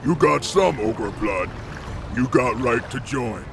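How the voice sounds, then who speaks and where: An actor playing a brutish, arrogant ogre clan chief in a video game, with blunt, taunting broken grammar. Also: male voice, medium pitch, expressive, takes it slowly, creaky, background noise. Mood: gruff, testing. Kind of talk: video game cutscene acting.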